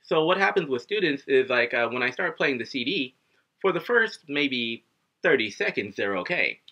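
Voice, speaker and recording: A man in his thirties teaching English to camera at home, animated and acting out little scenes as he explains. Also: mid-range voice, normal speed, husky. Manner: animated, acts out students and himself, gestures a lot. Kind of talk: casual video lesson to camera. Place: living room at home, indoors. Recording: dull lo-fi webcam audio.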